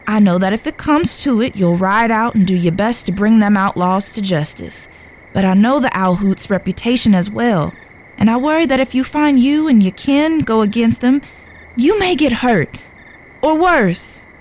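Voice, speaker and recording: Frontier sweetheart played by a woman: polite, tender, prone to fretting, fairly high voice, genteel delivery for western audio drama. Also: expressive, normal speed, slight background noise, natural voice, rough recording. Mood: worried, affectionate. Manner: polite, genteel, proper phrasing. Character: genteel, tender, fretful Old West lady.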